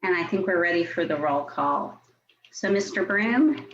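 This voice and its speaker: A woman with an American accent and a slightly low voice reads out procedural items as a municipal staff member. Her delivery is formal and official. The online meeting audio is a little muffled, with faint noise behind her. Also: expressive, moderate pace. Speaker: city staff member at a public commission meeting.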